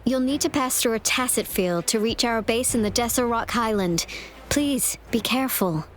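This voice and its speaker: An actress playing a composed, caring city magistrate in a video game cutscene, speaking formally and with measured reassurance. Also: female voice, fairly high pitch, close to an everyday voice.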